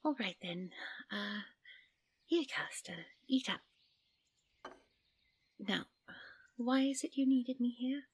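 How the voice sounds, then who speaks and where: A woman playing a gentle, easily flustered fairy princess in an audio roleplay, with polite, dreamy and quaintly formal speech. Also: on the high side, animated, takes it slowly, pauses often, husky, heavily acted.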